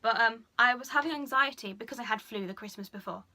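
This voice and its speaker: A young woman talking frankly to camera in a personal vlog, chatty and full of fillers, speaking a little lower than she usually does. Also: quick pace, bright, forceful tone. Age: young adult. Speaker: vlogger. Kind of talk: candid personal confession.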